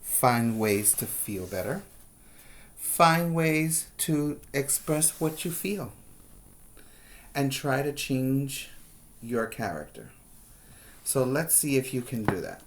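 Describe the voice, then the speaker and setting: Slow, deliberate teacher reading aloud. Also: mid-range voice, animated. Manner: deliberate, emphatic on story words, explains to children. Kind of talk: read-aloud storytelling for preschoolers, with explanatory asides.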